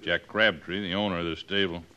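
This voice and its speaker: A lowish, forceful voice gives this frontier sheriff his bossy edge. He speaks bluntly in an American Western accent, always suspicious, in the manner of an old-time radio western. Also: fairly even intonation, brisk, muffled recording. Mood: testy. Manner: blunt, commanding.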